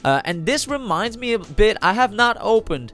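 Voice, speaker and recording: A man's voice: high voice, big pitch swings, normal speed, a bit bright, faint music behind. A man with a cold and a slightly nasal voice, talking casually over a card video and thinking aloud with many fillers and restarts. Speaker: trading card channel host.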